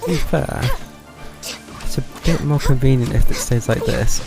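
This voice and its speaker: Casting: man as a low-key game streamer, gravelly, muttered casual remarks over clear background music. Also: moderate pace. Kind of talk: casual live game commentary.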